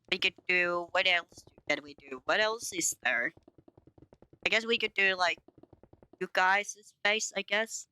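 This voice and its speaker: A woman's voice: lowish voice, sharp tone, clean tone, no room sound, rough recording. A young woman from Finland who speaks English with a light Finnish accent, chatting casually and rambling with her stream chat, with giggles and self-teasing. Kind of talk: casual chat to live chat while building in a game.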